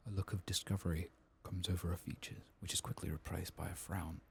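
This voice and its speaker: A man speaking softly and often whispering in ASMR style as he comments on a game he plays, close to the microphone. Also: deep voice, flat delivery, gravelly, slight background noise. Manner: hushed, reads quest text aloud slowly. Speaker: ASMR gaming streamer narrating a playthrough.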